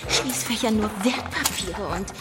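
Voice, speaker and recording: An actress playing an excitable female bank robber in a radio drama, talking colloquially. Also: mid-range voice, a little slow, loud music behind the voice, close to an everyday voice. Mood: giddy, amazed.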